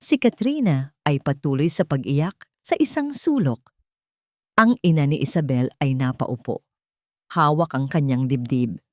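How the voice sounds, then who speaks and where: A woman with a fairly low voice tells a scripted romantic drama. She speaks in measured, solemn phrases, and the recording is dry and close. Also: a little mellow, no echo. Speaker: story narrator.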